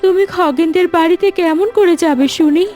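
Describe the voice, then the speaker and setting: A woman voicing a poor, motherly village wife in a cartoon, gentle and fretful. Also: extremely high pitch, brisk, soft background music. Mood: worried. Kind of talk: cartoon fairy-tale voice acting.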